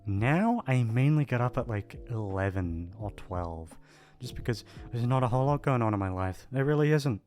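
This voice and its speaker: A man's voice: medium pitch, animated, normal speed, a little mellow. Hesitant, rambling man with music behind. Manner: hesitant, self-deprecating, aimless. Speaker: voice actor signing off to listeners. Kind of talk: casual rambling outro chat.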